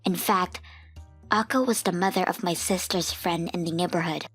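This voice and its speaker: A woman's voice: lowish voice, normal speed, husky, soft background music. A woman reading a scripted first-person story as the narrator of a manga dub.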